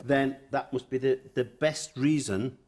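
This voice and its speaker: A man in a debate audience making an earnest, deliberate point in a slightly husky voice. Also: medium pitch, fairly even intonation, darkish tone. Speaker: audience member. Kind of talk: spontaneous debate comment.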